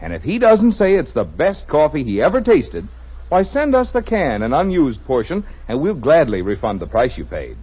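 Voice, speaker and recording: A man announcing in the style of a 1950s radio advertising pitch, with a husky voice. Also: huge pitch swings, normal speed, a little mellow. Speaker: commercial announcer. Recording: muffled vintage radio recording.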